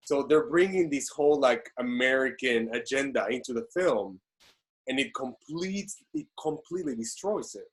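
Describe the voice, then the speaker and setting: A man's voice: mid-range voice, fairly bright tone, poor audio. A man in his twenties discussing casually on a video call from a small room. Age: 20s. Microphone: computer on a video call.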